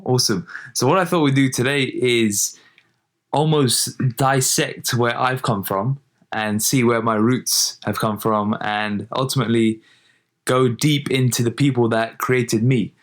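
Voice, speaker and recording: A man hosting a podcast episode and interviewing his parents in an upbeat, lively way. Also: medium pitch, measured pace. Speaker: podcast host.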